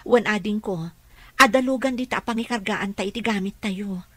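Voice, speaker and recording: A woman's voice: medium pitch, normal speed, sharp tone, no echo. A woman acting as a polite, earnest caregiver and protective mother in a radio drama, with an Ilocano accent. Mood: steady, serious.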